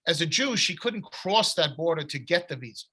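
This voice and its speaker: A middle-aged man with a bright, slightly high voice. He spins long, winding anecdotes full of restarts and asides, in an easy conversational way. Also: expressive, normal speed, slightly rough. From United States. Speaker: author. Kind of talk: conversational storytelling.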